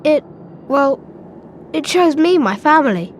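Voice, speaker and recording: Young schoolboy wizard, very high boyish voice, lively intonation, earnest, plucky, video game. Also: male voice, a little slow, slight background noise. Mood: hesitant, wondering.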